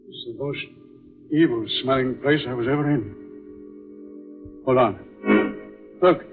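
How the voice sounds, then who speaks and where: An actor playing a solemn, dramatic man in an old-time radio drama, speaking in a deliberate, theatrical way. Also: medium pitch, music in the background, natural voice. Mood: tense. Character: solemn male film star, grave and dramatic. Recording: muffled, tinny vintage radio recording.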